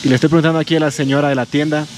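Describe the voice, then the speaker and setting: A man's voice: fairly high pitch, flat delivery, very fast, a little hiss or hum. A man with a Honduran accent narrating his trip to camera outdoors in a mountain mining town, explaining what viewers see while short of breath from the altitude. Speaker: travel vlogger host. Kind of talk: casual travel narration to camera.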